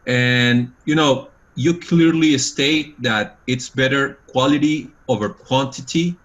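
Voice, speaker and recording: A man's voice: mid-range voice, takes it slowly, fairly bright tone, dull audio. Earnest man from El Salvador. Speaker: participant. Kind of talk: thanking the speaker on a video call.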